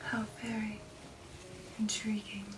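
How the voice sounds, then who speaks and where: A woman voicing a refined, affectionate female vampire in an intimate ASMR roleplay, with a breathy whisper and a genteel manner. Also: medium pitch, completely flat delivery, slow pace, far from the mic, music in the background, natural voice, low-quality recording. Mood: politely intrigued. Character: refined, affectionate female vampire recounting an old shipboard romance. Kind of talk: ASMR roleplay, personal attention.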